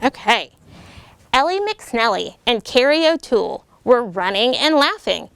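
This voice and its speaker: A middle-aged American woman, a military officer, reading a children's picture book aloud with lively, animated delivery and voiced character lines. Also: on the high side, unhurried, gravelly, smooth. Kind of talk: storybook read-aloud.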